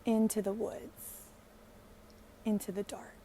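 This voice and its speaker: Woman, very unhurried pace, soft dark timbre, gentle hushed meditation guide, long pauses, soothing. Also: mid-range voice, level tone, a little hiss or hum.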